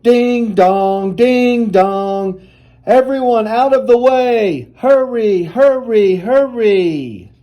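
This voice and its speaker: A man reading the part of excited, urgent firemen calling out orders in a children's storybook. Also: very high voice, very slow, lo-fi sound, voice pushed up, full character voice.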